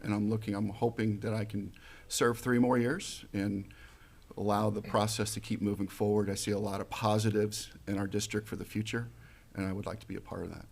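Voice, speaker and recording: Man, 40s, gravelly and flat; halting local candidate answering questions. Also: lowish voice. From Missouri, USA. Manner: plain, halting, with fillers and restarts. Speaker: school board candidate.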